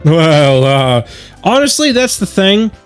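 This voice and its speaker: Animated man doing let's play commentary. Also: on the high side, slow pace, smooth, faint music behind. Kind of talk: let's play commentary mixed with reading game text aloud.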